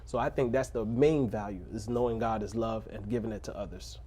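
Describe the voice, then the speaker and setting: A man who grew up in a rough neighborhood, speaking as a pastor in a casual interview, earnest and preacherly, breaking into laughs and jokes. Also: lowish voice, steady tone, a little fast, mellow. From Little Haiti, Miami.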